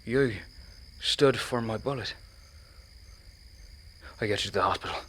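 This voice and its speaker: An actor playing an earnest, anxious, haunted immigrant cab driver in a radio play, speaking simple broken English. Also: male voice, on the low side, unhurried, a bit bright, a little hiss or hum. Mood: shaken, grateful.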